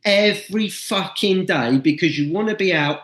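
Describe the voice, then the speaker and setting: Man, podcast co-host, blunt ranting, slightly high voice, echoey room. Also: moderate pace, fairly bright tone. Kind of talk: casual interview chat.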